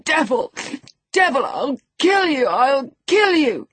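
A woman's voice: sits high, very animated, slow, no room sound, pitched above the performer's own voice, exaggerated voice. Woman doing a shrieking, frantic sickly youth.

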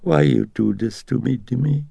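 A man's voice: mid-range voice, normal speed, gravelly, mellow, slightly rough, no echo, voice pushed up. A man reading aloud and voicing a frightened, tearful old mother who pleads in broken English. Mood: sorrowful.

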